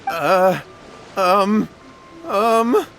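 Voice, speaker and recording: A man's voice: sits high, lively intonation, very slow, fairly bright tone, faint music behind, full character voice. A man playing a cowardly young townsman in an anime game, nervous, flustered and evasive, with a stammering, pleading delivery. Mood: panicked evasion.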